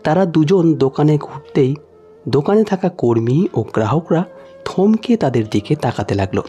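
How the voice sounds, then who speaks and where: Male narrator for dramatic scripted tale; slightly high voice, animated, music underneath. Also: moderate pace. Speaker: storyteller.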